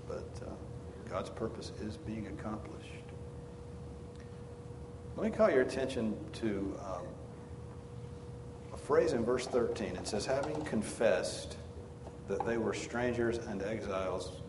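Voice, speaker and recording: A man's voice: on the low side, lively intonation, unhurried. A man leading a group Bible study, teaching and drawing out the group with questions. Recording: roomy hall with background noise.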